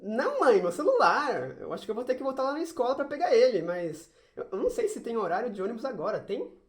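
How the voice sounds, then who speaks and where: A woman's voice: fairly high pitch, big pitch swings, fast. A woman acting as a sulky, easily flustered schoolboy in an amateur audio drama, stammering with exasperation. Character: whiny, sulky schoolboy who hates science, easily flustered.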